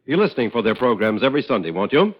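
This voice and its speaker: A male announcer delivers a smooth, formal old-time radio announcement. His mid-range voice comes through the dull, muffled sound of an old broadcast. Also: medium pitch, sing-song, very fast.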